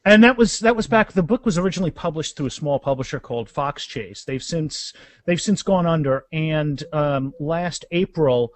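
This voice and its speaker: A man's voice: a little high, animated, normal speed, no echo. A man of about fifty chatting casually and enthusiastically as a guest author in a podcast interview, rambling as he goes.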